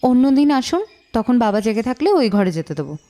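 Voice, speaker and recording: A woman playing an irritated, sharp-tongued married woman in an audio detective drama, curt, scornful and suspicious. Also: on the high side, very animated, a little fast. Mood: guarded, irritated.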